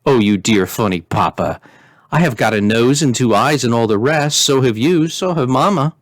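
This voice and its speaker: A man's voice: mid-range voice, animated, normal speed, no room sound, studio quality, natural voice. A man voicing a playful, flippant teenage princess in a storybook, airy and teasing.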